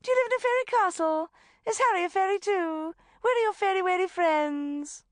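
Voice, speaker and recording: A woman's voice: very high voice, normal speed, no room sound, studio quality, voice pushed up. A woman voicing a gushing, maniacal grown woman who trills and gabbles playfully at a child, as an audiobook character. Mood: trilling, sugary.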